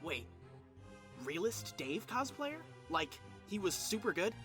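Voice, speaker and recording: A woman's voice: mid-range voice, very animated, slow pace, music in the background. A woman playing an irritable, sarcastic, foul-mouthed teenage troll boy in a fan comic dub. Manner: grouchy, sarcastic, swearing.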